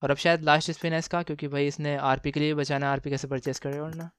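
A man giving casual, excited gameplay commentary, slangy and exclamatory, repeating phrases for emphasis. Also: fairly high pitch, quick pace, clean tone, no room sound, studio quality. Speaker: gaming channel host.

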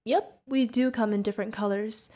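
A woman's voice: fairly high pitch, fairly even intonation, normal speed, warm tone, lightly acted. A woman playing a sweet, curious, friendly feathered alien bartender in an ASMR roleplay, chatting directly to the listener. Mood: friendly, chatty.

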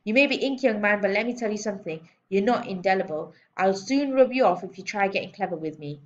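A woman's voice: mid-range voice, animated, fast, dull audio, natural voice. A woman voicing a bullying giant headmistress in a storybook, barking and snorting her insults with sarcastic scorn. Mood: outraged, menacing. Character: giant, bullying, sarcastic middle-aged female school headmistress. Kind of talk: audiobook storybook character voice.

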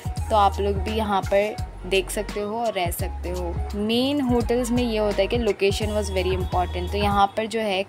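A woman's voice: mid-range voice, steady tone, measured pace. A young Indian woman narrating a casual travel and food vlog, slipping English phrases into her speech. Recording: music loud behind the voice. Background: loud music.

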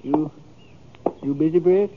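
An American actor playing a weary, downcast homesteader in a radio western, speaking haltingly. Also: male voice, on the high side, close to an everyday voice. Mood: hesitant, downcast. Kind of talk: 1950s radio western acting. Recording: muffled, noisy old radio recording.

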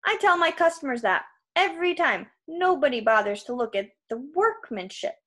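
Storybook villain: giant male salesman, jovial, fussy, menacing, very lively pitch , female performer. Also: normal speed. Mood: jovial menace. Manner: folksy sales patter, casually threatening.

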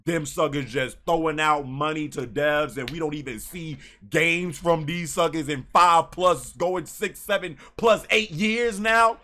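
A man's voice: on the high side, unhurried, few pauses, pushed and bright. An American man in his thirties ranting casually to camera as a gaming YouTuber, emphatic and given to repeating phrases. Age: 30s. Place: small bedroom, indoors.

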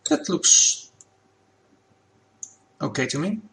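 A man whose first language is Dutch, talking through a software tutorial at his computer in a relaxed, plain step-by-step way. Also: fairly high pitch, very slow, vocal fry, slight background noise. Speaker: game asset creator.

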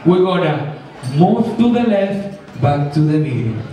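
A man with a fairly high voice calls out dance steps to a group. He is heard from a distance in a large indoor space, with very heavy echo. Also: very animated, a little slow, mellow. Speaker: dance instructor. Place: large indoor ship atrium. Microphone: camera at a distance. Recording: very echoey hall, off-mic.

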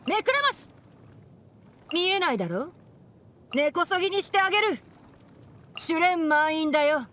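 A woman playing a young male fox-eared forest ranger in a game, bookish and brisk, with a matter-of-fact, helpful manner. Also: very high voice, sing-song, bright, forceful tone, exaggerated voice. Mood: urgent, determined. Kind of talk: Japanese anime-style game character voice lines. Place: studio. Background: background music.

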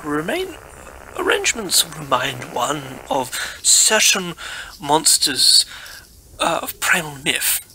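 A fairly high-pitched male expedition scientist, acted by a man in an audio play. He dictates his discoveries in halting, telegraphic bursts, as if sending a field report. Clear noise sits behind the voice. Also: huge pitch swings, sharp tone, heavily acted. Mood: excited, awed. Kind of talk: amateur audio drama acting, dictated report. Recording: clearly noisy background.

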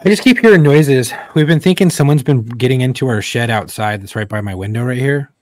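A man's voice: medium pitch, fairly quick, a little mellow, no room sound. A man co-hosting a podcast in casual conversation. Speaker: podcast co-host. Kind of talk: casual chat. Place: home room, on a video call.

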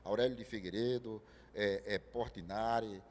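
A man, a guest artist talking easily in a TV studio interview into a handheld mic, pausing often. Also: fairly low pitch, fairly even intonation. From Brazil, João Pessoa. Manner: easygoing, speaks in halting bursts with fillers. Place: TV studio set. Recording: long studio reverb.

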